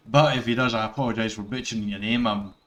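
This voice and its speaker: A man's voice: on the low side, steady tone, fast talker, a bit bright. A middle-aged Scottish man giving rambling casual commentary over gameplay, correcting himself and chuckling as he goes. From Scotland. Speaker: gaming YouTuber.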